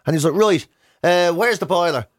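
High-voiced Irish man, chatty radio host. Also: normal speed. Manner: animated storytelling, acting out dialogue. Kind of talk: comic casual chat and anecdotes.

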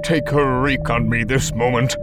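A man's voice: mid-range voice, fairly even intonation, normal speed, close to an everyday voice. A man playing a weary, grieving old man who declaims solemnly in an archaic audio drama style, with music underneath. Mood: urgent, solemn. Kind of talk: theatrical audio drama acting.

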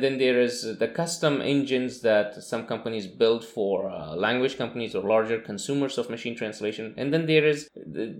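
A man interviewing a guest, explaining points at length after hesitant starts. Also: medium pitch. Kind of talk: interview questions. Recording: echoey room.

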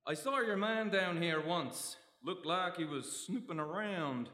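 An actor playing a chatty, cheeky working-class ship's laundry worker in a live comedy radio play, with colloquial speech. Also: male voice, high voice, expressive, measured pace, pushed and bright, full character voice. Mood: cheeky, gossipy. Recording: noisy, echoey live hall recording.

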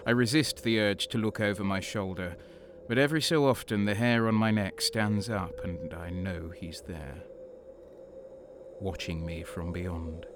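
An actor playing a sadistic renegade guardsman, narrating in the first person in a brooding, deliberate way. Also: low-pitched. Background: music.

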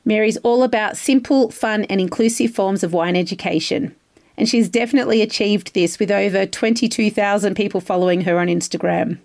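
A woman's voice: medium pitch, a little fast, vocal fry, a little mellow. A woman with an Australian accent hosting a podcast, upbeat and bubbly in her interviewing. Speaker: podcast host.

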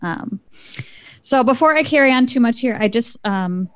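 A woman's voice: fairly high pitch, expressive, moderate pace, clean tone, no echo. A woman hosting an interview in a conversational style, putting questions to her guest. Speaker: interviewer, show host.

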